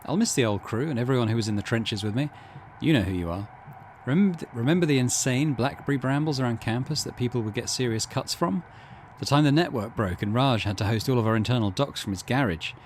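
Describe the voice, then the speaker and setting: A man's voice: medium pitch, lively intonation, quick pace, a little hiss or hum, lightly acted. A man voicing a departing corporate team leader from a game, nostalgic and upbeat in a declamatory way. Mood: grandly nostalgic.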